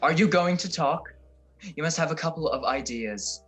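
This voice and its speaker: A man's voice: fairly high pitch, expressive, moderate pace, a bit bright, soft background music. A man playing a tough, vengeful detective in an old radio-style crime drama, curt and threatening. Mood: curt, menacing. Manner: curt, threatening, hard-boiled. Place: recorded at home over a video call.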